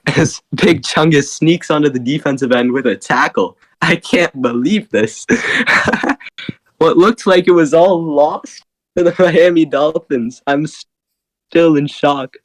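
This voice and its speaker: A hoarse young man doing excited post-game commentary, laughing often and breaking into shouts. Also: a little high, takes it slowly. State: hoarse, lost voice.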